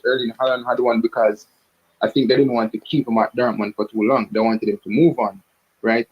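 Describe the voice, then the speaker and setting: A man calling in to a sports show, explaining his football opinions deliberately and often checking he is followed. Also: mid-range voice, breathy edge. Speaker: caller giving football opinions. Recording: dull lo-fi call audio.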